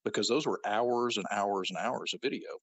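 A man with a very low, husky voice, telling his side of events as a guest. He speaks matter-of-factly, with an indignant edge. The recording is dry and close. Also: moderate pace, dry recording, dull audio. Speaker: interview guest. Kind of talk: recounting events in an interview.

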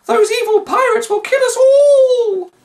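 A male narrator voicing a panicky, shrill woman taken captive, wailing and begging. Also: unhurried, darkish tone.

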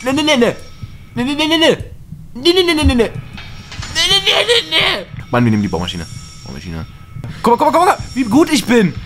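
Excitable young man with a lively high voice. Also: a little fast, long pauses, faint music behind. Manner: excitable, yelling and laughing, silly English asides. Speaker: gaming channel co-host. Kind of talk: casual let's-play banter. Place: small room at home, seen on webcam.

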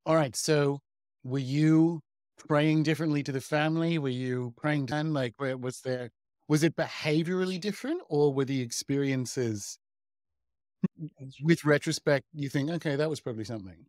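A man's voice: on the high side, normal speed, darkish tone, no echo, clean studio sound. A man in his thirties hosting a podcast interview over a video call from home, upbeat and chatty. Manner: upbeat, chatty, laughs easily. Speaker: podcast host. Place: home room.

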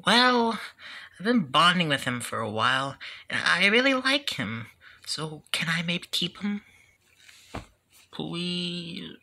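A woman voicing an eager, excitable young child hero in an amateur cartoon-style story. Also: on the low side, slow pace, pauses often, voice pushed up, full character voice. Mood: pleading.

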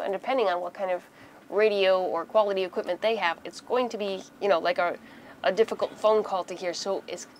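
A woman in her thirties talks through a practical tutorial in a casual, conversational way. Her voice sits at an average female pitch. She is recorded in a small boat cabin, with noticeable ambient noise behind her. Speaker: host of a sailing how-to video.

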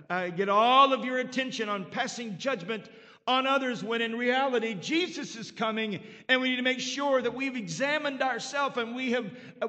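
A man's voice: sits high, sharp tone. Man preaching with emphatic amens. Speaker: pastor. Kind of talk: sermon. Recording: long reverb of a church hall.

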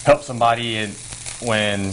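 A young firefighter and paramedic chatting easily while cooking on a TV studio kitchen set. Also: male voice, lowish voice, fairly even intonation, normal speed, fairly bright tone, low-quality recording. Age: young adult. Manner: easygoing, full of fillers, talks while stirring a pan. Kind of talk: casual chat while cooking. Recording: clear background noise, sizzling pan.

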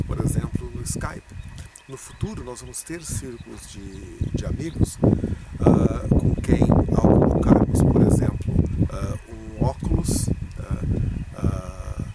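A man's voice: mid-range voice, lively intonation, measured pace. A middle-aged man giving an informal answer to camera in a conversational way, with frequent check-in tags. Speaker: storytelling consultant answering questions. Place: outdoors in open countryside by a field. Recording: distant mic, loud wind and outdoor noise.

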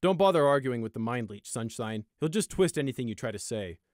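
A man's voice: medium pitch, lively intonation, a little fast, a little mellow, no echo, clean studio sound, natural voice. A man as a stern, scornful unicorn guard. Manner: firm, scornful, clipped. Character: stern male unicorn guard, contemptuous and unyielding. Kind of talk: audiobook character acting.

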